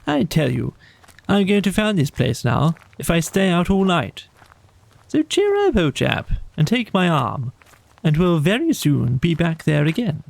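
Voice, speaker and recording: A man acts out a kindly, decisive water rat from a storybook. He sounds hearty and cheerfully bossy, pitched above a plain narrating voice, in a dry close recording. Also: big pitch swings, unhurried, vocal fry, dry recording, heavily acted, rough recording. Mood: hearty determination.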